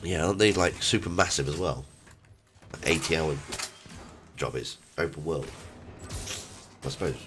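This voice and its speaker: A man's voice: rumbling low, a little slow, pauses often, background noise. A man streaming a video game, chatting casually as he plays. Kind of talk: casual chat while playing a game. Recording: loud game music behind. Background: loud game music and sound effects.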